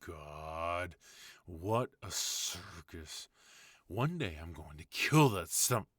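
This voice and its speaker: A man playing a commanding mafia boss husband in an ASMR audio roleplay, speaking with cold, measured menace. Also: sits low, animated, a bit bright, full character voice. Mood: exasperated.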